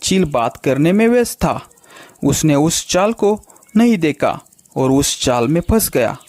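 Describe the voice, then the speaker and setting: A man's voice: fairly high pitch, big pitch swings, a little slow, a little mellow, dry recording. A man narrating a scripted children's cartoon story as the storyteller.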